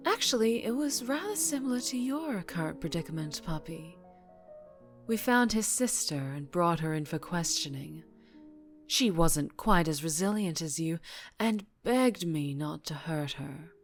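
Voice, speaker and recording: A woman plays a dangerously charming female mafia boss in an ASMR audio drama. Her lowish voice moves very slowly, teasing and softly threatening, with phrases dropping toward a whisper. Faint music sits behind her. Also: heavily acted. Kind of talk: ASMR roleplay acting.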